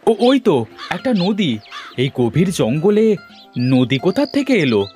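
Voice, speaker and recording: A man voicing a poor, earnest village father in a cartoon fairy tale, exclaiming and expressive. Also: a little high, a little slow, faint music behind. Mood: determined, weary.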